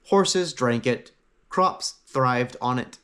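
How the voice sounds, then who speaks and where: A man reading a fantasy story as a narrator, with dramatic phrasing and frequent pauses. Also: medium pitch, fairly bright tone, smooth. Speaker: audiobook narrator.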